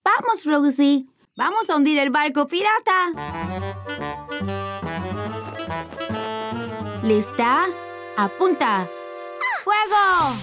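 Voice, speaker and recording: A woman voicing an eager, imaginative, excitable four-year-old boy in a children's cartoon dub. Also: high voice, unhurried, music in the background. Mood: excited.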